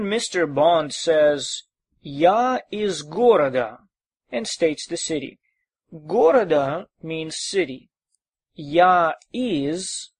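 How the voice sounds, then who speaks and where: Slow, patient male language teacher. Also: a little high, dry recording. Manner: patient, drills phrases with repetition. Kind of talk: recorded language lesson.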